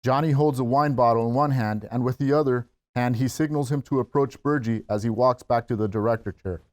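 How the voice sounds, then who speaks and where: A man's voice: medium pitch, a little fast. A man reading out stage directions as scripted narration at a screenplay table read.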